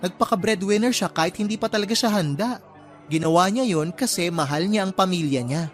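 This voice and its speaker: A man playing a chatty, teasing young friend in a radio drama, expressive and gossipy. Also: high voice, a little fast, lightly acted. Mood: teasing, coaxing. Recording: music behind the voice.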